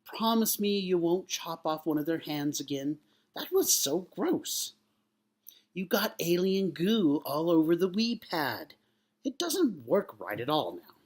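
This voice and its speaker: A man's voice: a little high, big pitch swings, measured pace, pitched above the performer's own voice. Man doing an overdramatic, condescending starship captain. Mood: grossed-out. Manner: exaggerated, overacted. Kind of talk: comic sci-fi monologue reading.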